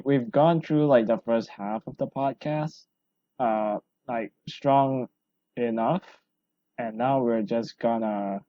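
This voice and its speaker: A man hosting a solo podcast, rambling and self-deprecating, his voice hoarse from talking a long time. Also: medium pitch, dry recording, dull audio. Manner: rambling, self-deprecating, full of fillers and restarts. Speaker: podcast host.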